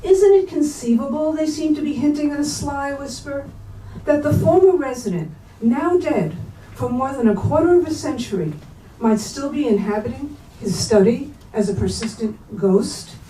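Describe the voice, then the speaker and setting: A woman reading literary prose aloud at a bookstore event, with measured, deliberate phrasing. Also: sits high, expressive, dark tone. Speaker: reader at a staged literary reading. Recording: roomy, distant mic, faint noise.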